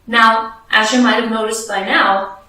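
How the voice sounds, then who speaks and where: A woman's voice: on the high side, fairly even intonation, unhurried, sharp tone, far from the mic. Echoey woman giving advice. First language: Dutch. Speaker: podcast host giving advice to researchers. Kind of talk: scripted advice video. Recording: very echoey room, voice away from mic.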